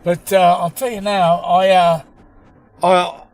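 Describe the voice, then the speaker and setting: A man's voice: level tone, slow. A middle-aged Australian man, a comedian chatting casually and telling anecdotes in slangy, sweary language. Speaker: stand-up comedian. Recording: noisy in-car recording, traffic rumble.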